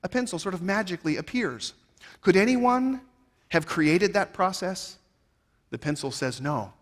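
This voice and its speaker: A man in his thirties giving a keynote speech on stage, emphatic and rhetorical, swinging between loud and quiet phrases, on a headset mic. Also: sits high, animated, measured pace. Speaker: keynote speaker. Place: stage of a large conference hall. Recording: reverberant large hall.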